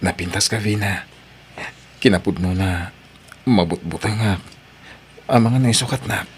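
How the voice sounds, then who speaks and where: A man with a Filipino accent and a husky voice playing a devoted, earnest young sweetheart in a romantic drama. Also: fairly low pitch, a little slow, a little hiss or hum, natural voice. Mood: tender, earnest. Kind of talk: Filipino radio-style romantic drama acting.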